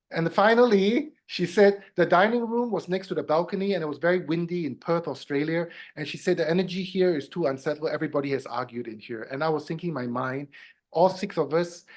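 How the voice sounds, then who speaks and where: A man in his fifties who grew up in Singapore, a guest in an interview, telling a long personal story. His voice often rises into louder bursts, then drops soft. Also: fairly high pitch. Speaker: guest, feng shui consultant.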